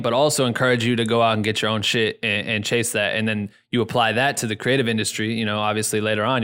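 Smooth-voiced upbeat man from Iowa. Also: medium pitch. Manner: energetic, slangy, enthusiastic. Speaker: interviewer. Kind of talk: casual podcast interview.

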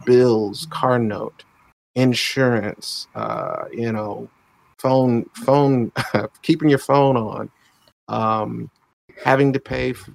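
Gravelly-voiced man in his thirties. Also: medium pitch, poor audio. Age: 30s. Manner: thoughtful, halting, with many restarts and filler phrases. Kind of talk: reflective conversation.

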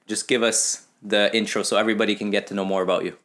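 A man's voice: fairly low pitch, level tone, fast, a bit bright. Upbeat young man hosting a podcast. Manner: upbeat, scripted-sounding intro, then friendly questions. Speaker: podcast host. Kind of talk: podcast intro and interview questions.

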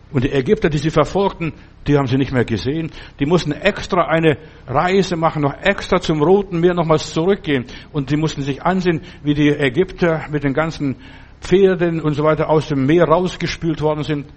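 An older man preaching an earnest, exhorting sermon, speaking straight to the listener and repeating phrases for emphasis. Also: fairly high pitch.

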